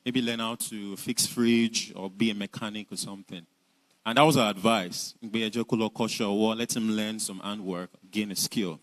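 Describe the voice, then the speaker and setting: A man's voice: lowish voice, fairly even intonation, measured pace, low-quality recording. A young Nigerian man with a Nigerian accent, telling his life story in an earnest, reflective way. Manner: earnest, reflective, with light laughs. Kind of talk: personal storytelling talk.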